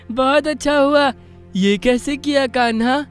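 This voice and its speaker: Man acting a young schoolboy, high-pitched, eager, timid, exclamatory, animated story dialogue, music behind. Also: exaggerated voice. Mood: delighted.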